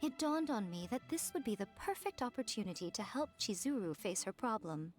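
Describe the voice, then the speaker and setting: An actress playing a poised, knowing, smoothly reassuring woman in a video game, composed and articulate. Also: mid-range voice, very animated, fairly quick, soft background music, natural voice.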